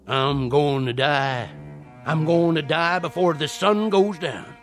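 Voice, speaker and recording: A man's voice: on the high side, takes it slowly, soft background music. An actor playing a fervent old Southern conjure man in a radio play, with a folksy, theatrical rustic way of talking. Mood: grave, foreboding. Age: older. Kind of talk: dramatic radio play acting.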